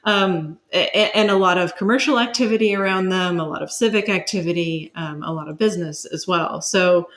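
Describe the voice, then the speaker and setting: A woman speaking as an academic guest, giving explanatory interview answers in measured, searching phrases with asides. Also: lowish voice, fairly bright tone.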